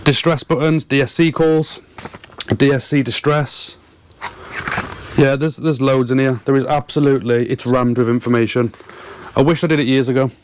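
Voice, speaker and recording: A man with a mid-pitched voice talks to his viewers in a casual, explanatory vlog style. He is earnest and emphatic. He often repeats a word several times to drive his point home. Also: steady tone, moderate pace, slight background noise. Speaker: fishing and boating vlogger.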